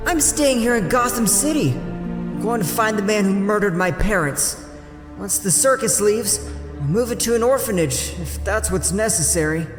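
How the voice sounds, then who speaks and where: A man's voice: high-pitched, lively intonation, moderate pace, reverberant, long reverb tail, over music. A man playing an earnest, determined young circus boy in an audio drama. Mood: resolute. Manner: earnest, polite.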